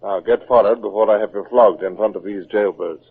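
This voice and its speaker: A stern, dutiful British sea captain in an old radio play. His voice is low and slightly husky, clipped and authoritative. The recording is muffled and very narrow, like vintage radio. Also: fairly even intonation, a little fast. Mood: commanding, firm. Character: stern but humane naval captain, brooding and dutiful. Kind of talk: old-time radio drama acting.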